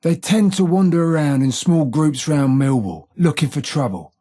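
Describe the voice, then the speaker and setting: An actor playing a gruff, streetwise London gang tough in a video game, with a rough gravelly British working-class accent and blunt, slangy, taunting delivery. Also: male voice, fairly high pitch, lively intonation, normal speed, warm tone. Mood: gruff, confiding. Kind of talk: video game character voice lines.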